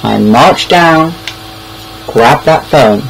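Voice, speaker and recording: A young man with a British accent tells personal stories in a casual, rambling way. His voice sits at a middling pitch, and he often stalls and repeats words. Noticeable background noise runs through the homemade-sounding recording. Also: expressive, very slow, vocal fry, poor audio. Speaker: online storyteller. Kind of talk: casual anecdotal storytelling.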